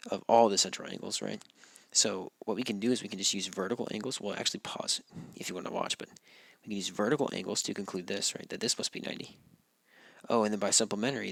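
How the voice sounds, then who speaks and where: A male math teacher walks through geometry notes, a little hoarse because he is losing his voice. His voice is lowish and gravelly with a bright edge. He keeps it relaxed and checks in with "right?" again and again, and the sound is dry and close. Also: no room sound. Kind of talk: recorded classroom walkthrough.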